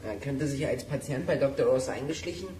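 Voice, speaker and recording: A man's voice: mid-range voice, a little fast, mellow, a little hiss or hum, lightly acted. A man playing a clever boy detective who corrects and teases in a precise way, in a youth audio drama. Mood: matter-of-fact.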